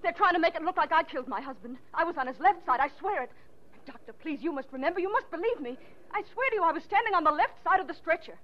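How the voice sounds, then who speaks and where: A high-voiced, volatile widow with murder in her, in 1940s radio melodrama. She is intense and theatrical, haughty and vengeful, heard on a muffled old recording. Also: lively intonation, quick pace. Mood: frantic, insistent.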